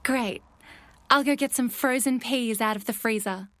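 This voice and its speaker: A woman voicing a caring, encouraging animal friend in an audio drama, with an expressive delivery. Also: fairly high pitch, moderate pace, natural voice.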